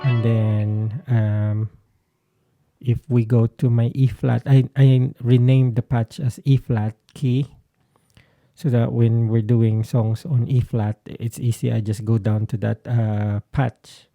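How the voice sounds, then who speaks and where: A man's voice: mid-range voice, level tone, slow pace, gravelly, dry recording, narrow, tinny audio. A man giving a casual explanation as a guitarist vlogger, hesitant and searching for his words. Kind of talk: casual explanatory talk to camera.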